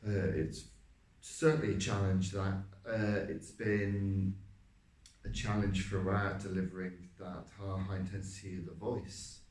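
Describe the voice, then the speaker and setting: A man with a deep voice, a stage actor talking through a role, hesitant with many fillers. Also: fairly even intonation, unhurried, a little mellow. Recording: roomy, from a distance.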